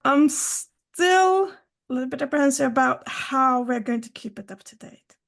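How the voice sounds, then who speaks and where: A woman's voice: high-pitched, expressive, moderate pace, gravelly. A woman guest on a podcast, not a native English speaker, explaining her work thoughtfully and at length. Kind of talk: casual technical chat.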